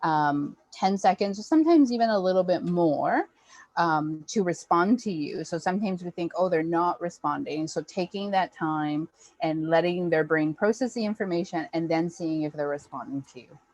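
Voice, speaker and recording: A woman with a fairly low voice talks parents through material as a program facilitator. The delivery is conversational, and the sound is dry and close with little room in it. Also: moderate pace, darkish tone, no echo. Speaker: early childhood program facilitator.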